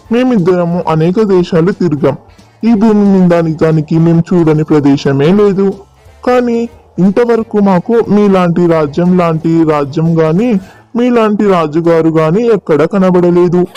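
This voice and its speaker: A man voices a stubborn storybook sage or king, formal and declamatory. The voice sits high for a man yet is soft-edged and mellow. The sound is slightly muffled, over faint music. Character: shrewd, stubborn old guru and a gullible, demanding king. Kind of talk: dramatised children's folk tale.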